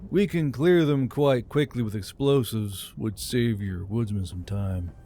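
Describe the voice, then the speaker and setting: Folksy, self-assured military leader played by a man, medium pitch, casual and direct, fantasy storytelling. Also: measured pace, warm tone, slight background noise, lightly acted. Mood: businesslike. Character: hairy, confident, plain-spoken male army commander.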